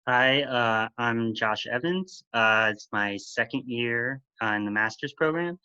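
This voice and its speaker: A man's voice: mid-range voice, measured pace, sharp tone, clean tone, no echo. A young man graduate student introducing himself plainly with fillers on a video call. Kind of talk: self-introduction. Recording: dull, dry video call audio.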